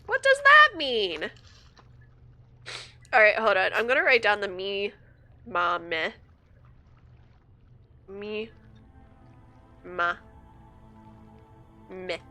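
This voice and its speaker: A woman's voice: mid-range voice, sing-song, drawn-out words, pauses often, pushed and bright, soft background music. A young woman streaming a game while sick, sniffling, chatty and upbeat, thinking aloud and laughing often. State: sick with a stuffy nose, sniffling. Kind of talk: casual gaming livestream chat.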